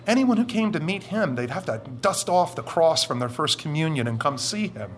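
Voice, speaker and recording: A middle-aged man with a deep voice, answering interview questions in a deliberate, explanatory way with drawn-out emphatic phrases. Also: lively intonation, slight background noise. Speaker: interview guest.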